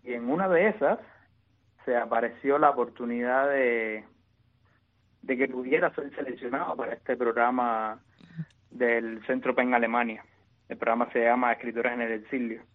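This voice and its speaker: Cuban man, exiled poet guest, halting delivery full of restarts, tinny phone-line sound. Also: medium pitch. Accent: Cuban Spanish. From Cuba. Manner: halting, many restarts and repeated words. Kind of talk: interview answers.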